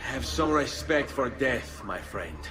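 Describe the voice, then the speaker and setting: An actor playing a cool, resolute Italian assassin in a video game, speaking in a measured, quietly confident way with an Italian accent. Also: male voice, mid-range voice, lively intonation, close to an everyday voice. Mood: solemn. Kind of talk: dramatic game dialogue.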